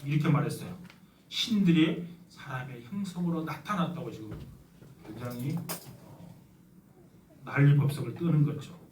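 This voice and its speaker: A middle-aged male pastor from Yeosu with a Jeolla accent, preaching a sermon in a warm, conversational storytelling way with touches of humor. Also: on the high side, animated, moderate pace, pauses often, recorded from a distance, a little hiss or hum. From Yeosu, South Korea. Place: church sanctuary. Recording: echoey church hall from afar.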